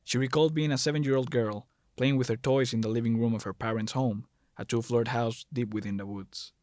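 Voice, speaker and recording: A man's voice: mid-range voice, fairly even intonation, a little fast, darkish tone, no room sound, studio quality. A man serves as narrator of a scripted audio drama. His voice is mid-range, and he reads the story in prose.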